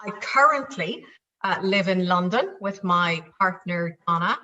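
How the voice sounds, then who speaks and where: A woman's voice: fairly low pitch, expressive, a little slow, bright, forceful tone, muffled recording. A middle-aged woman with a Dublin Irish accent, a company chief executive answering interview questions in a chatty way, with fillers and restarts. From Ireland.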